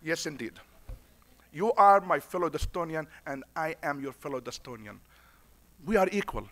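A man's voice: a little high, lively intonation, normal speed, fairly bright tone. A middle-aged man with a foreign accent, an imam giving a conference speech at a podium, preacher-like and repeating lines for emphasis. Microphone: podium mic.

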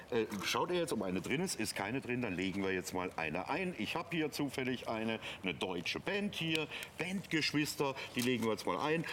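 A man's voice: fairly low pitch, a bit bright, a little hiss or hum. A man working as a TV shopping presenter, explaining a product step by step in an unscripted, conversational way. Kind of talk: unscripted live product demonstration. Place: TV studio.

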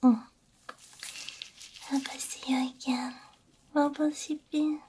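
A woman whispering gently and soothingly in an ASMR roleplay as a repair technician, breathy and close to the microphone. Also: high-pitched, little pitch movement. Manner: gentle, soothing, unhurried, soft hums between phrases. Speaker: ASMR VTuber playing a robot repair technician. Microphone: close binaural ASMR microphone.

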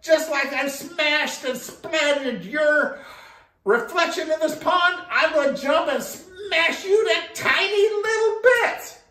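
Storyteller as tiny bold frog, high-pitched, shouting, echoey room. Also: takes it slowly, distant mic, exaggerated voice. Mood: bold, boastful. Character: brave tiny male frog, cocky and loud.

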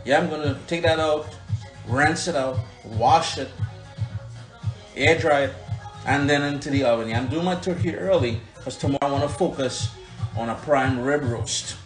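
A man's voice: fairly high pitch, normal speed, soft background music. A man with a Caribbean accent giving a casual, chatty cooking demonstration to his followers, recorded on a phone in a home kitchen. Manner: chatty, instructive, playful interjections. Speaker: home cook and cooking vlogger. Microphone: handheld phone filming the food.

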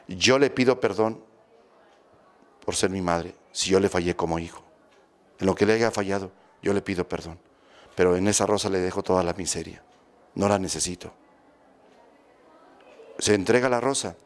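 A Mexican man in his fifties, a priest, giving a homily in a steady, instructive way, repeating phrases for emphasis, into a close handheld microphone. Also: low voice, normal speed, long pauses. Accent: Mexican Spanish. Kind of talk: sermon on family healing. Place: small church room with statues, indoors. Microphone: handheld mic close to mouth.

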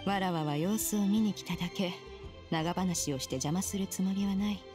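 An actress playing an ancient, haughty crane spirit who speaks in lofty, old-fashioned, measured phrases in a video game. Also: female voice, medium pitch, music in the background, natural voice. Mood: composed. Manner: archaic, lofty, measured speech. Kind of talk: Japanese game voice acting.

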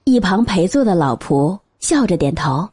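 Husky, mellow female audiobook narrator. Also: on the low side, sing-song, normal speed. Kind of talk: scripted storytelling narration.

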